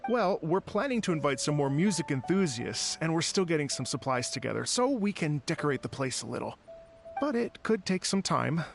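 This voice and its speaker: An earnest gentleman organizer in a video game, courteous and a little hesitant. His voice is fairly high and very animated, with music behind him. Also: fairly quick, gravelly. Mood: courteous, explanatory.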